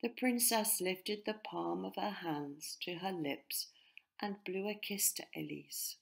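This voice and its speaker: A woman narrating a children's storybook expressively, in measured phrases that sometimes drop to hushed tones. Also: on the low side, vocal fry. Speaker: author narrating her own story.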